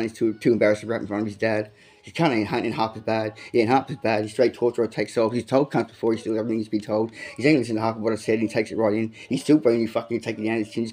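Profane amateur rapper, dark low voice. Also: male voice. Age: adult, has a son. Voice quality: says he has a big voice. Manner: profane, aggressive, rambling freestyle bars with repeated lines. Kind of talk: freestyle rap.

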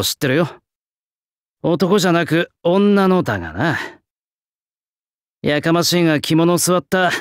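Blunt, lively young swordsmith. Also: male voice, a little high, no echo, clean studio sound. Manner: rough, blunt, old-fashioned working-class turns of phrase. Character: gruff, plain-spoken young male swordsmith spirit, proud craftsman. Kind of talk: anime-style mobile game character lines.

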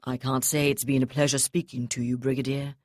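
A woman reading the lines of a calm, contemptuous male naval captain in an audiobook, speaking slowly and evenly. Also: a bass voice, dry recording, clean studio sound, voice pushed down. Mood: coldly calm.

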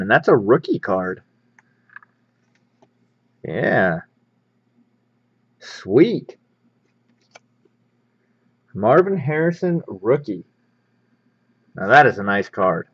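A man's voice: mid-range voice, very animated, slow pace, long pauses, a bit bright, husky. Man, casual host, slightly dull audio. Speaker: host of a sports card pack-opening show. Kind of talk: casual hands-on unboxing commentary.

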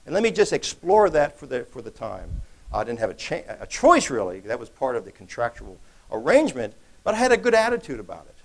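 A man's voice: mid-range voice, huge pitch swings, fairly quick, vocal fry. A middle-aged man giving a keynote talk at a conference, speaking off the cuff with jokes, fillers and restarts, recorded in a large hall while he stands away from the podium. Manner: conversational, joking, many restarts and fillers.

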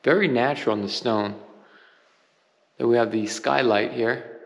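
Realtor type, mid-pitched man, eager home-tour chatter, empty-room echo. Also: a little slow, gravelly. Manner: enthusiastic, pointing out details. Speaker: real estate agent giving a home tour. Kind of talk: casual walkthrough narration. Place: empty house, large bare rooms. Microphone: handheld camera. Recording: long reverb of empty rooms.

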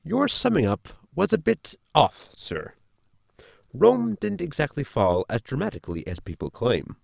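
A man's voice: a little high, wildly swooping pitch, unhurried, creaky, a little mellow, heavily acted. A man playing a polite, pedantic robot crewmate who explains things in a sci-fi comedy audio drama. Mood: lecturing. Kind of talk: sitcom audio drama acting.

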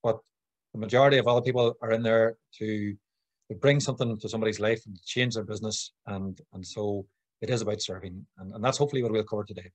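A man's voice: fairly low pitch, fairly even intonation, fast talker, no echo. Northern Irish man giving an informal talk. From Northern Ireland. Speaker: guest speaker, sales consultant. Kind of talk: informal business presentation. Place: room at home or small office, indoors. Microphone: computer mic on video call.

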